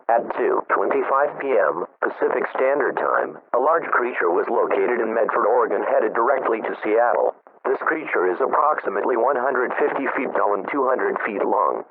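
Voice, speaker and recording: A man's voice: mid-range voice, expressive, moderate pace, narrow, tinny audio. A man's synthetic-sounding voice reading a scripted emergency broadcast announcement in a formal, impersonal manner.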